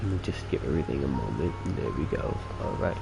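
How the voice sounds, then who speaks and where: A man's voice: a bass voice, expressive, quick pace, creaky, loud music behind the voice. A man giving casual commentary as he streams a game. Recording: noisy, game audio behind.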